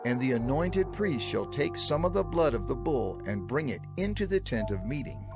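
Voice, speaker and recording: A man narrating scripture for a dramatized audio production in a measured, solemn, declamatory manner. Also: mid-range voice. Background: music.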